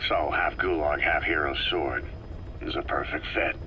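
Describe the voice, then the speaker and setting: An actor voicing a grave, guarded mercenary commander in a video game, speaking in terse, probing phrases. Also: male voice, rumbling low, steady tone, moderate pace, pushed and bright, slight background noise, low-quality recording. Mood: dry. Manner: terse, clipped questions. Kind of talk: scripted video game voice acting.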